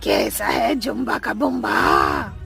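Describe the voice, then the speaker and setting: A woman voicing a vengeful young witch ghost in a horror story, theatrical and taunting with creaky, drawn-out threats. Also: medium pitch, wildly swooping pitch, fairly bright tone, heavily acted. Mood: threatening. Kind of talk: Hindi animated horror storytelling. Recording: music behind.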